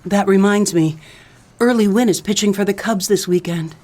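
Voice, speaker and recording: A woman's voice: medium pitch, moderate pace, background noise, lightly acted. A woman playing a coy, scheming femme fatale widow in a comedic noir radio play, sweet-talking with pet names. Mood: coy, flirtatious.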